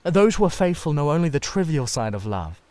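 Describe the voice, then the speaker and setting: A man's voice: fairly high pitch, very animated, a little fast, a little mellow, pitched above the performer's own voice. A man voicing a witty, cynical, self-satisfied Victorian aristocrat in a dramatised reading of a classic novel, with a theatrical manner. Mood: smug.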